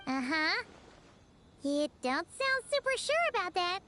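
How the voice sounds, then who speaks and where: A tiny childlike creature sidekick from a video game, with an extremely high, bright, squeaky voice. The delivery is slow-paced and swoops about, blunt, cheeky and full of exclamation. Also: female voice, very animated, slight background noise, heavily acted. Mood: skeptical. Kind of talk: English video game voice acting. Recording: game music behind the voice. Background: video game music.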